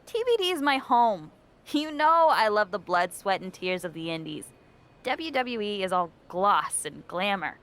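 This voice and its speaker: A woman's voice: medium pitch, big pitch swings, unhurried, a bit bright. A woman playing a brash, teasing indie wrestling promoter in a video game, sarcastic and exaggerated. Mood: proud, dismissive.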